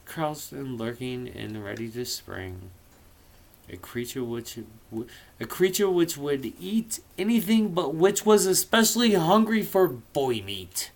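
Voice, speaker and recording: A man's voice: on the high side, big pitch swings, unhurried, gravelly. A man casually reading a novel aloud, theatrical and playful, dropping in irreverent asides of his own. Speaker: amateur reader of a novel.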